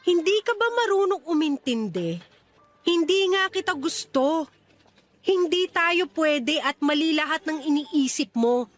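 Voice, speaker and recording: A woman's voice: sits high, animated, measured pace. An actress playing a curt, defensive young woman firmly rejecting a suitor in a radio drama, with an irritated manner. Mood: cold, firm. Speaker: voice actor in a radio-style love story. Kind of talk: Filipino radio drama acting.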